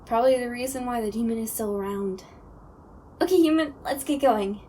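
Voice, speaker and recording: Perky, high-voiced playful ghost, acted by a woman. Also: expressive, fairly quick, warm tone, a little hiss or hum, close to an everyday voice. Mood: breezy, playful. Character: cheerful, playful pun-loving young ghost, Chris.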